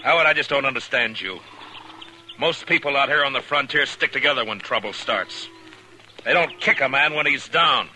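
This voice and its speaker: An actor playing a steady, stern frontier US marshal, calm and firm in a 1950s radio drama. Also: male voice, medium pitch, moderate pace, slight background noise, low-quality recording. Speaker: lawman.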